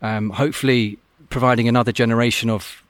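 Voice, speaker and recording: A man's voice: medium pitch, steady tone, quick pace, dry recording. A man who works as an open-water swim coach, talking earnestly and thoughtfully in a casual podcast interview, often restarting his sentences. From Scotland.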